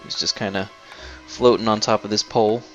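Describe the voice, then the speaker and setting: A young man giving casual gameplay commentary in a low-key, halting, unhurried way. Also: level tone, a bit bright, highs cut off. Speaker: gamer, video host. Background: faint game music.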